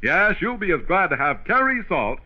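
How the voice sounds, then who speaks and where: Old timey radio ad guy, really animated and emphatic, muffled 1940s recording. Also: high-pitched, normal speed. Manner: emphatic salesman delivery. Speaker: radio announcer. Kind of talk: 1940s radio sponsor pitch.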